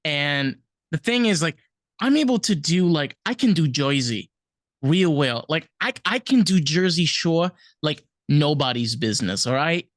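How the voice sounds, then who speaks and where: A woman with a New York accent co-hosting a podcast, animated and playfully defensive, stumbling over quick restarts. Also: deep voice, no echo, clean studio sound. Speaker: podcast co-host. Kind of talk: casual chat.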